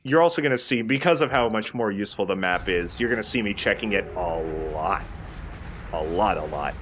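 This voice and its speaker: Low-voiced guy doing casual game commentary. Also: animated, fairly quick, background noise. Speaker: host playing a video game. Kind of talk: casual let's play commentary.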